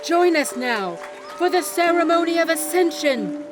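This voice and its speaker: A woman playing a devout island priestess in an audio drama, telling sacred history as solemn, reverent, measured oratory. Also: very high voice, expressive, over music, crowd noise. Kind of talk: ceremonial storytelling in an audio drama.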